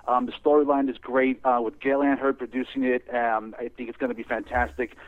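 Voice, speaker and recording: A man's voice: medium pitch, flat delivery, brisk, no echo. A man talking hesitantly, full of ums and uhs, as a phone-in guest. His voice comes through a dry, tinny phone line. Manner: hesitant, many fillers. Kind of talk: casual radio interview.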